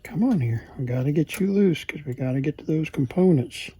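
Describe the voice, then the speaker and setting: A husky-voiced man thinking aloud in a casual, halting mutter as he hosts a hands-on repair video. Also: a little high, expressive, dark tone, no room sound. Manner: halting, muttering to himself while working. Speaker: repair hobbyist and video host.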